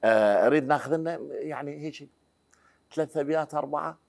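A man's voice: a little high, fast talker, vocal fry, a little mellow. A man in his forties with an Iraqi accent, a talk show host asking probing questions in a studio interview. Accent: Iraqi Arabic. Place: TV studio set, indoors.

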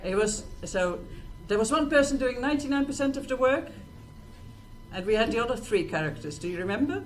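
A woman's voice: fairly high pitch, lively intonation, brisk. Woman presenting from far off, noisy room. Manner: rhetorical questions to the listeners. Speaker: event host. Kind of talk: informal presentation to an audience. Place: small stage in a presentation room. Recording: distant mic, clearly noisy room.

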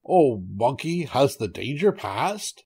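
A man voicing a big savage tiger in a storybook, greedy yet easily frightened, pleading and flattering in drawn-out phrases. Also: medium pitch, gravelly, husky, heavily acted. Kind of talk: storybook character voice.

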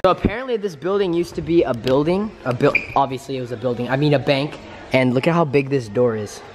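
A young man vlogging outdoors in a casual, joking and excited way, riding around city streets. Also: medium pitch. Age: young adult. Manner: fast, playful, joking, often laughing. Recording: loud street and traffic noise.

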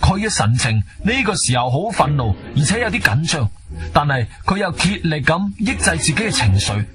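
A defiant, shrewd Cantonese adventurer in a radio play. His fairly high voice swings expressively between wry and firm, with music clearly heard behind it. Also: very animated, a little fast. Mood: tense narration. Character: shrewd, defiant male adventurer telling his own story. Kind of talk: radio drama acting and narration.

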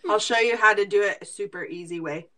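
Bright-toned, helpful woman. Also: mid-range voice, fast. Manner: helpful, matter-of-fact. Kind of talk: casual video call panel chat. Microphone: video call webcam.

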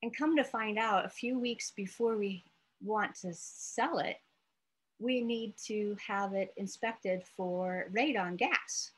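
An American woman in her late forties giving an informal, halting lesson with storytelling, thinking aloud as she explains. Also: medium pitch, slightly rough, poor audio. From United States, Iowa. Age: late 40s. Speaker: therapist presenting an educational video letter.

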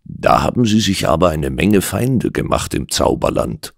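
A man's voice: rumbling low, animated, slow, creaky, dry recording, full character voice. A man voicing a sceptical doctor, a detective's companion in an audiobook, with a dry, mocking manner.

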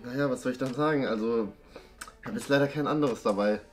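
A young man chats casually and off the cuff. He talks quickly, with music playing behind him. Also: medium pitch. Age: young adult. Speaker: participant in a staged date. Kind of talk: casual improvised chat. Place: indoor studio set at a dinner table.

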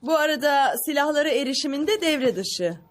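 A woman voicing a tough, no-nonsense young tech expert in a cartoon dub, brisk and matter-of-fact. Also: high voice, animated, slight background noise. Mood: exasperated. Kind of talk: dubbed cartoon acting.

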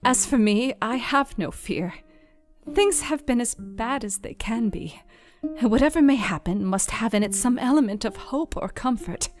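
A man reading an audiobook, voicing a frightened but brave young wife in a slow, high falsetto. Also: lively intonation, music in the background. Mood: calm resolve.

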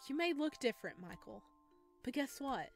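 A woman's voice: high voice, very animated, normal speed, gravelly, faint music behind, voice pushed up, exaggerated voice. A woman voicing an earnest, caring visual novel heroine who speaks emphatically and from the heart. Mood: emotional. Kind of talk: visual novel character reading.

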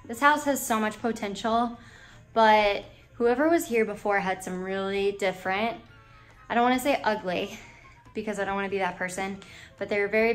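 American woman in her 20s doing a casual house tour vlog, chatty n informal, middle pitch, holding the camera herself. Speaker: vlogger giving a house tour. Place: empty rooms of a house, indoors. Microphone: handheld camera or phone at arm's length.

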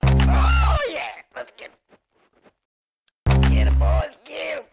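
A man voicing a scruffy, gravelly homeless man rowdily egging on a fight for a video game. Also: extremely low pitch, huge pitch swings, very slow, loud music behind the voice, full character voice. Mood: rowdy, egging on. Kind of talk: video game announcer voice pack.

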